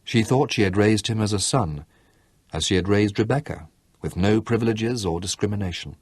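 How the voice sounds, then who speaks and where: Male audiobook narrator, low-pitched, measured literary reading. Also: a little mellow, slightly rough, no echo, studio quality.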